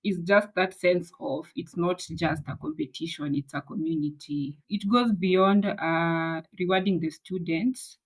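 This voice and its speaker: Woman, kinda low voice, earnest and passionate. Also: unhurried, hardly any pauses, poor audio. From Kenya. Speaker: master's student and mentor. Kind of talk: interview answers.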